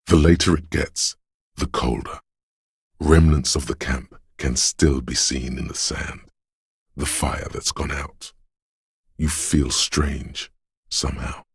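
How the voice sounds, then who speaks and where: A man voicing a brooding, spectral inner voice of a cold, haunted city in a video game, speaking solemnly in literary, atmospheric narration with a gravelly, husky tone. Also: extremely low pitch, no echo, clean studio sound, heavily acted. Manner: solemn, ominous, measured.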